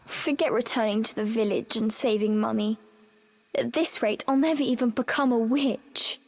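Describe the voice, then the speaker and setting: A woman voicing a young witch girl with a British accent, an impulsive, expressive, childlike pupil in a storybook video game. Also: a little high, moderate pace, no room sound. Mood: dejected. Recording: music loud behind the voice.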